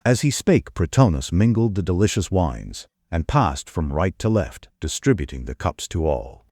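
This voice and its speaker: A man's voice: low-pitched, big pitch swings, moderate pace, a little mellow, dry recording, clean studio sound. A man giving formal, dramatic narration of an epic audiobook. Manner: formal storytelling.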